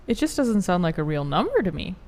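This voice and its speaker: A woman's voice: on the low side, sing-song, a little fast, a little mellow. A young woman in her early twenties co-hosting a podcast, talking casually with lots of "like" and incredulous swearing. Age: young adult. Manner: incredulous, swears, heavy use of "like". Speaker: podcast co-host. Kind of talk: casual chat.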